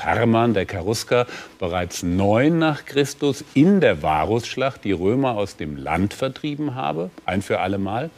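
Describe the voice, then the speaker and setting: Male TV presenter, average pitch. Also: darkish tone. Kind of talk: scripted programme introduction.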